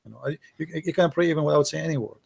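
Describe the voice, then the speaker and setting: A man's voice: fairly high pitch, racing, creaky, darkish tone, husky. A man hosting a call-in show, earnestly advising a caller in slightly non-native English. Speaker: host taking calls.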